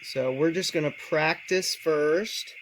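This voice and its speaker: Man, slightly high voice, casual hands-on tech tutorial, explanatory, speaks to the viewer, some background noise. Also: takes it slowly, a bit bright, low-quality recording. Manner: explanatory, addresses the viewer directly.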